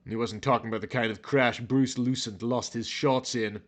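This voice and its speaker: A man narrating a story in a theatrical, brooding, overwrought style, as if every line were high drama. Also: steady tone, normal speed.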